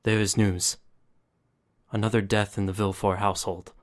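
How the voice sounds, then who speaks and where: An actor playing a discreet, respectful steward in an audio drama, speaking formally in a subdued way. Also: male voice, on the low side, little pitch movement, normal speed, close to an everyday voice. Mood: grave, deferential. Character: discreet, deferential male steward bearing grave news.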